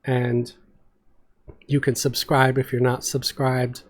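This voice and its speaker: A man's voice: mid-range voice, monotone, slow. A man hosting his channel, chatting casually to his viewers in an outro. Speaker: channel host.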